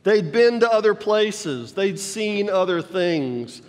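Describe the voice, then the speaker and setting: An older man in his sixties preaching a sermon from a lectern in a church sanctuary, teaching slowly and checking in with his listeners. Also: high voice, lively intonation. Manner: slow teaching delivery, checks in with the congregation. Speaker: pastor. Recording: long hall reverb in a church.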